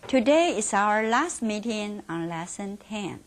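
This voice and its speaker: A middle-aged woman with a Mandarin Chinese accent teaching a beginners' Mandarin lesson in English, repeating words slowly and asking and then answering her own questions. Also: mid-range voice, expressive. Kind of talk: slow teaching instruction with drills.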